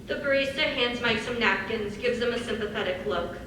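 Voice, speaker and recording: A woman's voice: fairly high pitch, fairly quick. A woman reading stage directions as scripted narration. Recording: echoey hall, far from mic, faint noise.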